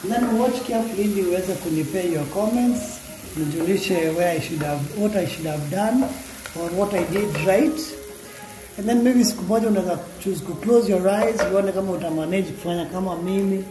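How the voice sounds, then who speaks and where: A man with a Kenyan accent chatting casually as he cooks in a small home kitchen, mixing languages and half-singing repeated words. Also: high-pitched. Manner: chatty, mixes Swahili and English, sing-song repeats words. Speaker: home cook vlogger. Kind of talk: casual cooking vlog. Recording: echoey kitchen with music behind.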